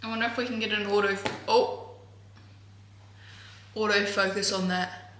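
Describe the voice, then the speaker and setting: A woman vlogging in a home kitchen, chatting in a rambling way with sarcastic, deadpan asides, recorded on a camera mic. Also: mid-range voice, moderate pace. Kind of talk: casual vlog chat. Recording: roomy with music bed.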